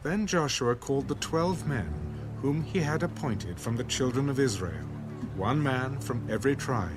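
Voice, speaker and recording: A man's voice: mid-range voice, animated, moderate pace. Solemn male Bible narrator over music. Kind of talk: scripted dramatized scripture narration.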